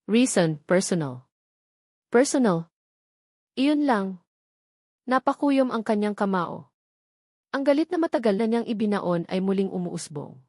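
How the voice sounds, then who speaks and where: Woman, Filipino accent, dramatic audio story narrator, scripted delivery, medium pitch, close dry recording. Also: animated, measured pace, pauses often, dark tone, dry recording.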